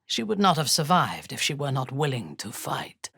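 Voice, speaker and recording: A proud, imperious female dark elf war leader for a fantasy video game. Her voice is breathy and husky, recorded close and dry. She speaks slowly and formally, with wide, expressive swings of pitch and cold disdain. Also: dry recording, heavily acted. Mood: cold, menacing. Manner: measured, haughty, formal diction. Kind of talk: video game character dialogue.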